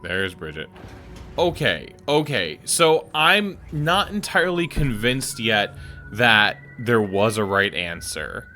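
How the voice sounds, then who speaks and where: Brief: let's play guy, bright animated voice, talks things through, light music behind. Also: on the low side, slow pace. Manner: chatty, musing, unsure. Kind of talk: casual gameplay commentary.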